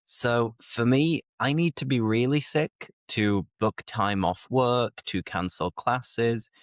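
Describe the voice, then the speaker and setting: A man's voice: mid-range voice, takes it slowly, dry recording, clean studio sound. A British man hosting an English-learning podcast, explaining idioms in an easygoing way while a little congested from a cold. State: slightly congested with a mild cold. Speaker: podcast host, English teacher. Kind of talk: casual conversational podcast.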